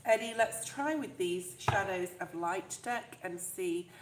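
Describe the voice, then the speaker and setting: A woman with a stuffed-up, fluey voice, chatting warmly and reassuringly to viewers during a live tarot reading. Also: on the low side, animated, unhurried, roomy sound, background noise. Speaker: tarot reader.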